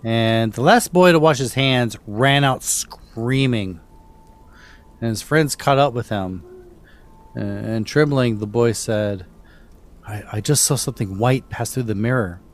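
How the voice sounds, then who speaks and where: A middle-aged man hosting a podcast, casually telling spooky stories with halting, repeated starts. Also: medium pitch. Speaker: podcast host and storyteller.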